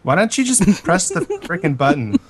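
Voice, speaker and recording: A man's voice: high-pitched, wildly swooping pitch, normal speed, very noisy. An American man co-hosting a podcast with casual, wisecracking chat. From Alabama, United States. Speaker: podcast co-host.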